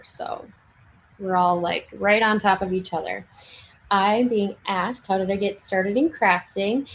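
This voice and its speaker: A young woman chatting casually on a livestream from her home craft room, laughing often and restarting sentences, her voice getting hoarse. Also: mid-range voice, a little hiss or hum, low-quality recording. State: losing her voice. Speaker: livestream speaker.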